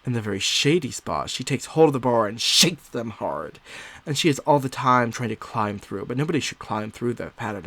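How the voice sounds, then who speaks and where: A man playing an unsettled, secretive nineteenth-century woman diarist growing obsessed, in a theatrical, confiding dramatic monologue with drawn-out emphasis. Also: medium pitch, a bit bright, no echo. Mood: intense, fascinated. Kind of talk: dramatic first-person monologue, audio theatre.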